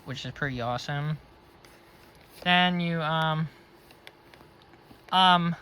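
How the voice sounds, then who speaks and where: Man, fairly high pitch, sharp bright voice, casual reviewer, unscripted walkthrough. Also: poor audio. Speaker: product reviewer. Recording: faint background noise.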